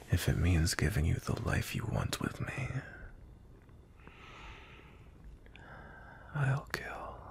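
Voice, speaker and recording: A man playing a cold, possessive, dominant vampire knight in an intimate ASMR roleplay, with a slow, breathy, menacing murmur. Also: low voice, heavily acted. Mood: possessive, devoted. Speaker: audio roleplay voice actor.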